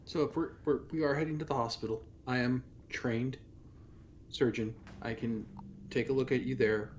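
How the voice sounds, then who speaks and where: Measured man playing a tabletop game medic. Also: mid-range voice, steady tone. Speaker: tabletop player acting as medic. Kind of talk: improvised in-character game talk. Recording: faint noise on live stream.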